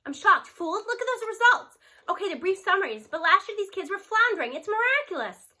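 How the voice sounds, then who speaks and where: Woman acting an indignant school principal, high and emphatic. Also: sing-song, a little fast, pushed and bright, exaggerated voice. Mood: excited, insistent. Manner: emphatic, stout, insistent. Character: earnest, indignant woman school principal, fiercely loyal to her teacher. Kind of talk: storybook character voice.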